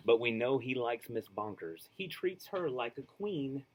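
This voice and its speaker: A man reading a children's storybook aloud, expressive and rhythmic, with casual asides. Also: medium pitch, unhurried, slight background noise. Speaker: storybook reader.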